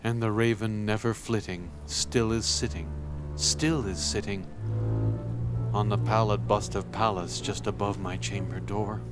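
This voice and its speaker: A man narrating gothic poetry as a dramatic reading, in a theatrical, brooding, measured delivery. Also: on the low side, fairly even intonation, music in the background. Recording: creepy sound mix behind the voice.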